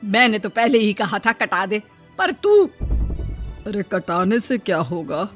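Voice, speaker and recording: A woman voicing a practical adult cartoon woman, a mother or teacher, with a measured manner. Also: mid-range voice, expressive, faint music behind, lightly acted. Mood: resigned.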